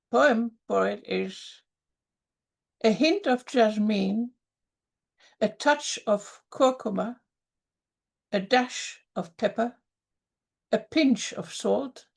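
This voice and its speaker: A woman reads her poems on a video call, taking her time over each word. Her voice is strongly gravelly and sits a bit high. She breaks off for halting asides, then goes back to a measured reading. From Germany. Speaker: open mic poet. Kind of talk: poetry reading at an online event.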